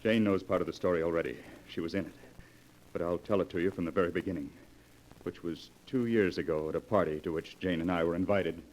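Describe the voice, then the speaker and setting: A man playing an impulsive young fiancé in a melodramatic, expressive 1940s radio drama style. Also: deep voice, fast, natural voice. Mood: agitated, reluctant. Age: young adult. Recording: faintly noisy 1940s radio recording.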